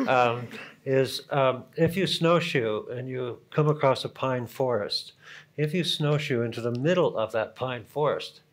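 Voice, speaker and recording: A Canadian-accented man talks on a conference panel in a relaxed, storytelling way. He drifts into asides and asks the audience questions. His voice sits at an ordinary middle pitch. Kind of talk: conference panel talk, anecdotal. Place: conference hall.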